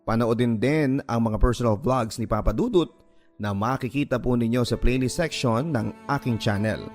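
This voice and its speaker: A man's voice: mid-range voice, normal speed, a little mellow. Filipino male storyteller over music. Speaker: radio host reading listener letters. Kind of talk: dramatic first-person storytelling narration. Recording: music under the voice. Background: background music.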